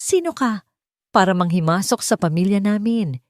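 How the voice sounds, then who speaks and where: A woman's voice: mid-range voice, expressive, moderate pace, no room sound, natural voice. Spiteful rich woman , read by a female storyteller, haughty, scornful, dry recording. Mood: contemptuous rage. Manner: scornful, accusing.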